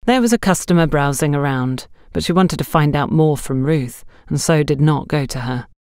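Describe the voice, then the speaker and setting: A woman's voice: sits low, normal speed, darkish tone, clear, resonant tone, dry recording. Woman narrating a story, dry close sound. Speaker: audiobook narrator. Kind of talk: scripted mystery story narration.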